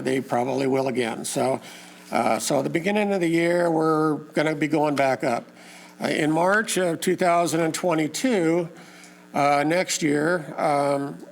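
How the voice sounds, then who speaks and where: A hoarse man who is losing his voice speaks at a community meeting, pausing often with fillers in plain, folksy wording. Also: a little high. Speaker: community meeting organizer and lake info page host. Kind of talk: informal public talk to a local meeting.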